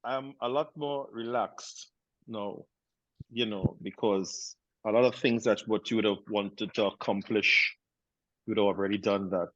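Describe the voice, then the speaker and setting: A man in his fifties speaking on a panel about his life, relaxed and chatty with frequent restarts. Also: lowish voice, a little slow, no room sound, highs cut off. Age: 50s. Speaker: panel guest. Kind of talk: casual chat.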